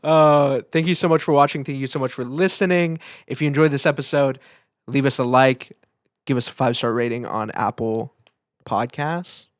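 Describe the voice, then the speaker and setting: A man's voice: medium pitch, fairly even intonation, normal speed, no echo. A man co-hosting a podcast in casual chat, informal and searching for his words, speaking into a close microphone. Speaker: podcast co-host.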